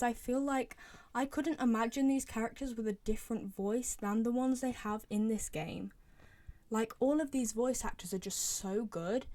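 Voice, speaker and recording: Young female video creator, slightly high voice, informal and chatty, sharing opinions as a fan. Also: a little mellow. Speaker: video creator reviewing game voice lines. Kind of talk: casual fan commentary.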